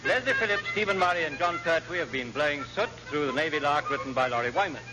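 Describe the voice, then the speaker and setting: English radio announcer, slightly high male voice. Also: animated, quick pace, loud music behind the voice. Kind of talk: scripted radio comedy narration. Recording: muffled old radio recording.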